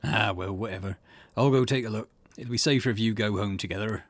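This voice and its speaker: A man plays a gruff, caring school janitor from an anime game. His voice is fairly low and closely recorded, with a dry sound and a folksy, grumbling delivery. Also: fairly quick, dry recording, natural voice.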